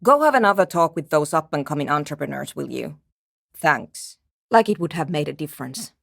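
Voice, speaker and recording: A woman's voice: low-pitched, animated, brisk, gravelly, a bit bright, no room sound, studio quality, exaggerated voice. A woman playing a guarded, impatient and anxious programmer in a video game, with a terse, precise and matter-of-fact way of talking. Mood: curt, dry. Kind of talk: scripted game character dialogue.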